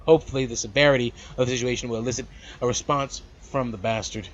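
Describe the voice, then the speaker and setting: A man hosting a let's play, reading a visual novel aloud with animated, slangy casual asides. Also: mid-range voice, fast talker, muffled recording, slight background noise. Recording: loud background music. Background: game music.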